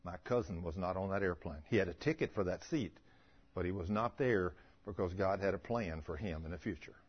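A man's voice: low-pitched, fairly quick, husky, highs cut off. A man preaching in a folksy, emphatic way, reading scripture and putting plain questions to the congregation.

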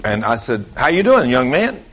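Man, late sixties, preacher, informal storytelling sermon, folksy, plain-spoken, direct address, medium pitch. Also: big pitch swings, moderate pace. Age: late 60s.

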